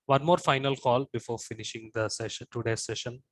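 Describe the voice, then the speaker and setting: A man's voice: mid-range voice, a little fast, dry recording. A man running a live online class, answering students' questions in a reassuring way and repeating short phrases. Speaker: online course trainer. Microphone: video call.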